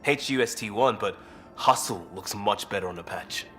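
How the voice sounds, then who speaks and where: A man's voice: lowish voice, moderate pace, fairly bright tone, faint music behind, close to an everyday voice. A man playing an easygoing, blunt former cop turned space rescuer in a video game, talking casually and candidly. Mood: nostalgic, easygoing. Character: easygoing, blunt ex-cop human crisis responder, male.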